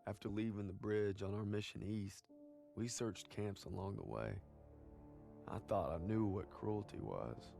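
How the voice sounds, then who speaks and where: A man playing an earnest, loyal young American soldier in a war game, with a gravelly voice and American accent. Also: fairly low pitch, measured pace, dark tone, soft background music. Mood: sombre, earnest. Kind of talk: video game cutscene acting and narration.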